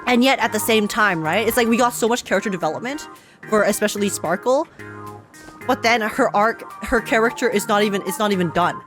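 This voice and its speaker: A woman giving casual, excited live commentary on a video game as a streamer, rambling and emphatic with plenty of slang. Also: medium pitch, a little fast, soft background music.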